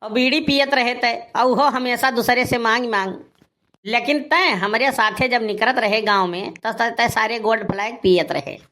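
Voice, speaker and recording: A man's voice: very high-pitched, normal speed, bright, forceful tone. A man playing an aggrieved, boastful village husband in a cartoon comedy, grumbling and scolding with a rustic eastern Uttar Pradesh accent. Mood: exasperated. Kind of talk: Awadhi cartoon comedy dialogue.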